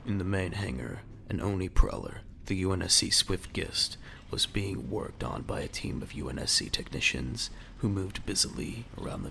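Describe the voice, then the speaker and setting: A man's voice: rumbling low, creaky, pushed and bright. A man narrating an audio drama from a script in a dramatic storytelling style.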